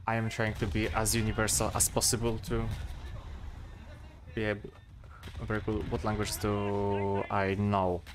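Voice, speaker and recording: A man in his twenties with a Polish accent, chatting casually to stream viewers and thinking aloud, with pauses and fillers. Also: fairly low pitch, monotone, music in the background.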